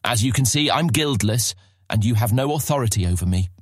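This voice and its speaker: Fantasy audiobook role: cocky guildless teen boy, defiant swagger, husky edge, close dry sound. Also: medium pitch, lively intonation, a little fast, no room sound. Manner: boastful, insolent. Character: cocky, defiant fifteen-year-old guildless boy, boastful storyteller. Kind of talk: full-cast fantasy audiobook acting.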